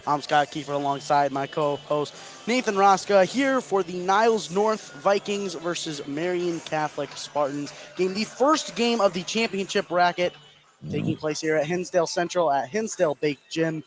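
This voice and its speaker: A man's voice: high voice, moderate pace. A man calling a basketball game live as a play-by-play radio commentator. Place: indoor school gym. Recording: crowd noise behind the voice. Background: gym crowd.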